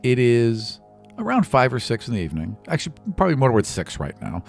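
A man's voice: medium pitch, huge pitch swings, fast, creaky, faint music behind. A man in his thirties narrating a tabletop game as game master in a casual, improvised way. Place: small indoor studio room. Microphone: close podcast microphone on stand.

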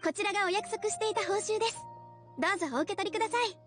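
A woman's voice: very high voice, racing. A woman playing a polite young female guild receptionist in a Japanese video game, with formal, courteous service speech. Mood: polite, composed. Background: game music.

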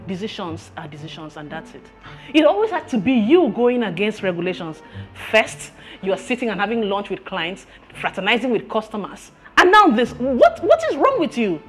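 An actress playing a stern, unyielding restaurant boss with a Nigerian accent, scolding emphatically in a screen drama. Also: female voice, mid-range voice, lively intonation, brisk, faint music behind, close to an everyday voice. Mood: angry scolding. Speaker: restaurant manager.

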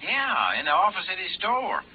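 A muffled old radio recording holds a gossipy Southern tipster. His fairly high voice bobs up and down in an easy, folksy drawl. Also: wildly swooping pitch, moderate pace, a crowd in the background. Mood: gossipy, helpful. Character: folksy, chatty male Southern local informant. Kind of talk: 1950s American radio drama acting. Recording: muffled, faintly noisy vintage radio recording.

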